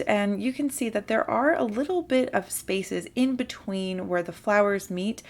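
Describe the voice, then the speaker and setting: A young woman narrates a papercraft tutorial over her hands at work, explaining each step in a friendly, patient way. Her voice sits at a middle pitch and is a little off from a sore throat she has today. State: slightly sick with a sore throat. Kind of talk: instructional voice-over for a crafting video.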